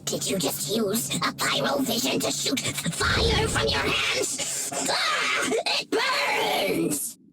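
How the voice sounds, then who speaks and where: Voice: very high voice, wildly swooping pitch, slow, raised voice, far from the mic, loud music behind the voice, full character voice. An actor playing a cowardly, whiny male villain henchman in a video game, theatrical and melodramatic in delivery. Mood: agonized, panicked. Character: cowardly, whiny villainous male cultist minion under interrogation.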